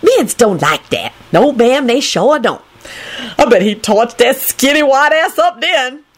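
A woman's voice: high voice, big pitch swings, unhurried, pitched above the performer's own voice, heavily acted. A woman voicing a haughty, suspicious, bossy and gossipy woman with folksy grammar and a scolding way of talking in an audiobook story. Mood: conspiratorial.

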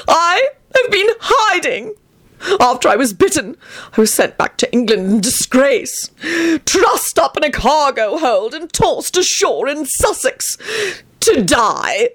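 A high, wildly swooping voice for an ancient male vampire in a storybook, acted out by a woman. He is theatrical and self-pitying, drawing out his haughty, old-fashioned phrases as if every one were a tragedy. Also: expressive, unhurried. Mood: bitter, aggrieved. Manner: melodramatic, wailing, archaic and haughty phrasing. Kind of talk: audiobook character voice.

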